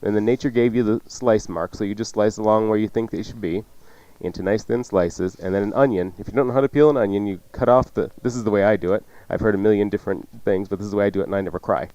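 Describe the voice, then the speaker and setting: A man's voice: fairly low pitch, fast talker, darkish tone, no room sound, lo-fi sound. A man hosting a cooking show, chatting through recipe instructions off the cuff with joking asides. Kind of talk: casual unscripted radio cooking instruction.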